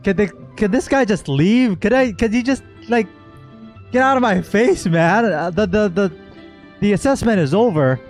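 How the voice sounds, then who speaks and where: A man streaming casual game reaction commentary, expressive and joking, talking back to the characters. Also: high voice, moderate pace. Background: game music.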